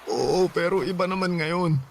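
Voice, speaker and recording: Sad gentle young guy, tagalog radio drama, kinda high voice, self-sacrificing type. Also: moderate pace, vocal fry, slight background noise. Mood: sad, resigned. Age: young adult. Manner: soft-hearted, earnest, sorrowful. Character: Danilo, young student boyfriend, grieving, gentle, self-sacrificing.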